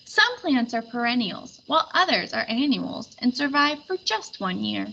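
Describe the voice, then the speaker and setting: A woman's voice: high voice, sing-song, normal speed, pushed and bright, lo-fi sound, a little hiss or hum, full character voice. A woman voicing a fussy, determined little female daisy in a storybook, complaining in an emphatic, particular way. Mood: matter-of-fact, proud.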